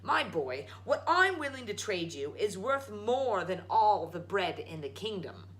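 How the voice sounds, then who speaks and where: A woman plays an old storybook tradesman, eccentric and folksy. The delivery is animated and unhurried, with a sly salesman's coaxing. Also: medium pitch. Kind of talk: storybook read-aloud.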